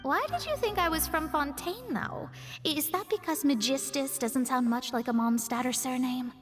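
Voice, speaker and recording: A woman's voice: high-pitched, normal speed, in a large hall, faint music behind, lightly acted. A woman voicing a proud young female astrologist in a studio game, composed and a little haughty as she explains things. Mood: friendly.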